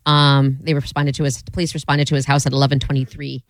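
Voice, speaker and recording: A woman co-hosting a radio show in a brisk, wry way, reading out the news and steering the segments. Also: very deep voice, flat delivery, dry recording, studio quality. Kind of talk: casual radio chat.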